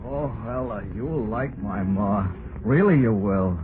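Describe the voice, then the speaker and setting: An actor playing an eager, naive young newlywed husband and devoted son in a vintage radio drama, with folksy, boyish talk. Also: male voice, lowish voice, animated, slow, narrow, tinny audio. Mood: cheerful, reassuring. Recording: noisy, band-limited vintage radio recording.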